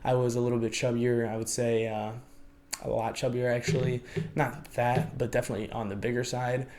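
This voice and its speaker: A man's voice: medium pitch, flat delivery, a little fast, a little mellow. A young man whose first language is Lithuanian, raised in the United States, telling his own life story in a reflective, rambling way and often hedging as he searches for words. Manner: reflective, rambling, often hedges with "I would say" and "you know". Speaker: vlogger. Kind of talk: personal life story, unscripted.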